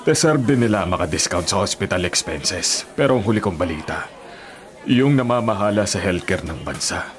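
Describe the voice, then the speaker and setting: An actor playing a sympathetic, indignant Filipino delivery rider, an older friend, in a plain-spoken radio drama delivery. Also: male voice, low voice, expressive, normal speed. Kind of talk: Tagalog radio drama acting.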